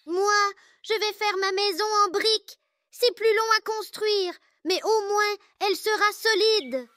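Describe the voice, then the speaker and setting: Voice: very high-pitched, a little slow, bright, forceful tone, heavily acted. A performer voicing a small, cheeky, carefree young male piglet in a children's cartoon tale, with a sing-song, boastful, childlike manner. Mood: proud.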